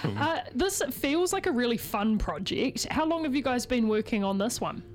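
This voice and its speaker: A woman's voice: a little high, sing-song, moderate pace, loud music behind the voice. A woman, hosting a studio interview podcast in a curious, upbeat way that laughs along with her guests. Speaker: podcast host. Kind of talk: casual interview chat.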